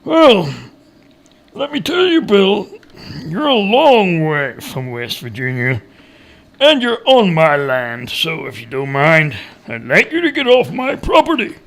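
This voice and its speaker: A man's voice: sits high, sing-song, slow, gravelly, fairly bright tone, pitched above the performer's own voice, exaggerated voice. A man voicing a sly, stubborn hillbilly woodcutter in a storybook tale, drawing out his words wryly. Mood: curt. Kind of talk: storybook character voice.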